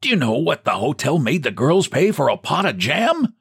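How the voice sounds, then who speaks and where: A man's voice: a little high, sing-song, moderate pace, gravelly, fairly bright tone, breathy edge, voice pushed up, heavily acted. A man voicing a frail elderly man who talks in a thin, piping, quavering way. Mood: indignant.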